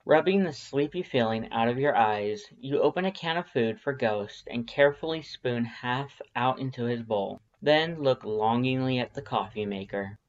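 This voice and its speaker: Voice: medium pitch, normal speed, hardly any pauses. A narrator reading a story expressively in a wry, conversational way, on a slightly muffled home recording. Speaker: audiobook narrator of fan fiction.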